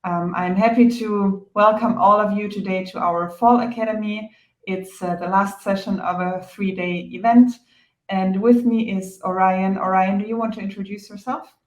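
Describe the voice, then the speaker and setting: A woman with a German accent opens and steers an online training session, friendly and organized. Her middle-pitched voice carries some room echo over the video call, and small fillers dot her speech. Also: fairly even intonation, moderate pace. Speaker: product marketing host. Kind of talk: webinar presenting. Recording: echoey room.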